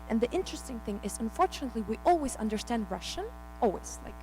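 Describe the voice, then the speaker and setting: Ukrainian-accented woman presenting over loud hum. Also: fairly high pitch, lively intonation, racing. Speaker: speaker giving a presentation. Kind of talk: public talk on history with slides. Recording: clear background noise, electrical mains hum. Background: loud hum and buzz.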